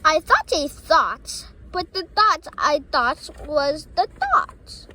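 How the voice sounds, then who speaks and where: A woman reading playful rhymes and tongue twisters aloud in a halting way, often stumbling over words. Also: high-pitched, big pitch swings, pushed and bright, a little hiss or hum, rough recording. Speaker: reader of children's poems.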